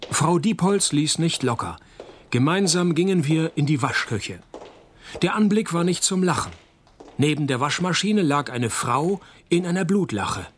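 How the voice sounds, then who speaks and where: An actor playing a wry, world-weary private detective in a radio crime comedy, deadpan and sardonic. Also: male voice, fairly high pitch, measured pace, a little hiss or hum. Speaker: detective and narrator. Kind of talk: radio crime comedy, first-person noir narration.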